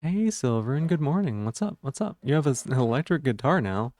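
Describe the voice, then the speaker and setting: A man with a gravelly voice and a sore throat, rambling casually to viewers on a livestream while checking things aloud. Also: mid-range voice, big pitch swings, a little fast, a little mellow, no room sound, studio quality.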